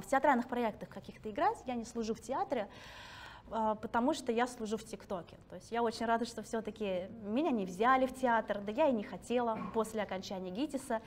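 A woman in her thirties from Russia, talking casually and self-ironically to an audience in a library hall, laughing often. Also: on the high side. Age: 30s. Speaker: guest speaker.

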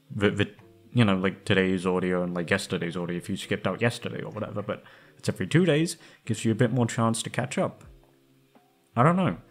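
Male online creator, fairly low pitch, loose self-deprecating chat with casual swearing. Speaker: ASMR roleplay creator. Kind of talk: casual rambling chat to listeners. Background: background music.

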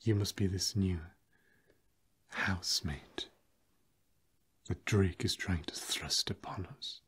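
A man playing a pompous, fussy aristocratic vampire, theatrical and archaic, in a soft ASMR roleplay. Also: deep voice, slow, breathy edge, heavily acted. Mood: fussy, condescending. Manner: theatrical, archaic, hesitant asides.

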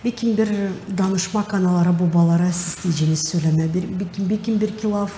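A woman's voice: on the low side, fast, warm tone. A middle-aged woman with a Gagauz accent hosting a studio interview, speaking hesitantly as she searches for words. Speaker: talk show host. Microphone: lapel. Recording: roomy, reverberant studio with faint noise.

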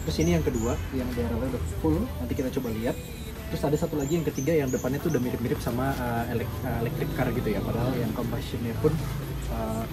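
A man's voice: mid-range voice, low-quality recording. A young man casually reviewing a car outdoors, chatty and explanatory with many fillers. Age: young adult. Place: outdoors in a garden and in an open-top car. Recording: loud road and outdoor noise. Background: traffic and outdoor ambience.

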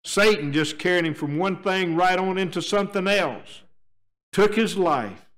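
An older man preaching in a church sanctuary, his voice set a little high. He moves between hushed, low stretches and raised, emphatic appeals to his listeners, speaking from the pulpit microphone. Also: a little slow. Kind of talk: sermon. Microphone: pulpit microphone, filmed by a fixed camera.

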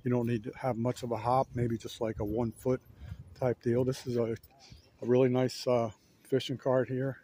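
A man casually narrating a walk-around outdoors as a fishing vlogger, in a laid-back, halting, rambling manner. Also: mid-range voice, level tone, mellow, husky. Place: outdoors on an ocean fishing pier. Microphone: handheld camera, held by speaker.

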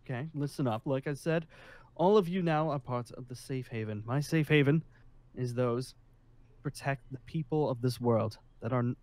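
A man's voice: medium pitch, moderate pace, darkish tone, dry recording, close to an everyday voice. A man playing a smug, menacing colonel who leads a survivor haven, with a commanding, persuasive manner in improvised game roleplay.

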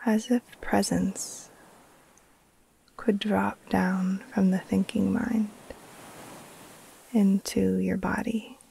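A woman guiding a relaxation meditation in a soft, breathy, soothing voice that often trails into a near-whisper, with long pauses between short phrases. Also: mid-range voice, level tone, slight background noise, rough recording. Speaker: yoga nidra teacher, meditation guide.

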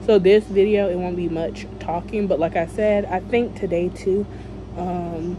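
This is a woman with a somewhat low-pitched voice. She talks like a vlogger addressing her followers, casual and informal. She thinks aloud as she goes, in an unscripted, conversational way. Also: fairly even intonation, measured pace, over music, low-quality recording. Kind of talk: casual chat to camera.

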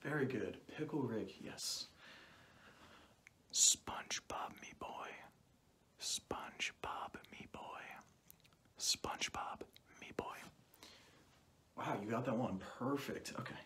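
A young man speaking softly through a cloth mask in an ASMR roleplay as an examiner, often dropping into whispers and repeating short phrases close to the mic. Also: mid-range voice, animated, takes it slowly, long pauses. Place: small plain indoor room. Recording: clearly noisy home recording with clicks.

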